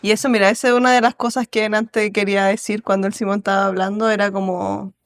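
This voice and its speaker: A woman with a Chilean accent, a podcast guest telling stories of moving abroad in a lively, laughing way. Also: medium pitch, fast. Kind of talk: casual storytelling interview.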